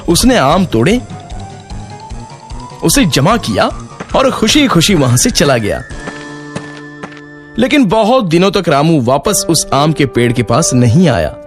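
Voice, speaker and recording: A male storyteller reads a children's moral tale in a scripted style. His voice sits a little high and moves with very lively intonation, over a faint music bed. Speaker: storyteller, narrator.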